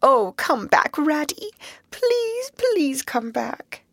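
A woman's voice: high voice, sing-song, drawn-out words, dry recording, clean studio sound, pitched above the performer's own voice, full character voice. A woman voicing an anxious, homesick young male mole who pleads in a tearful, breathless way. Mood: pleading.

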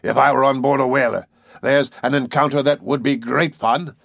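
A man voicing an excitable, boastful Canadian whale harpooner in an audiobook, blunt and emphatic. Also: medium pitch, normal speed, vocal fry, no echo, studio quality, pitched above the performer's own voice. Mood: excited, frustrated. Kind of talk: audiobook character voice.

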